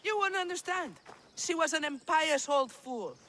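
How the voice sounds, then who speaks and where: An outspoken villager in an ancient-world game. Her voice is high and swings widely as she speaks her mind. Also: animated, moderate pace, a bit bright, a little hiss or hum. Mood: scornful. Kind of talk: video game cutscene acting.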